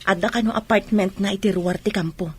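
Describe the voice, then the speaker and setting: A woman's voice: mid-range voice, steady tone, fast, darkish tone, breathy edge. A woman playing a gossiping female friend in a radio drama, confiding rumors. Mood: gossipy.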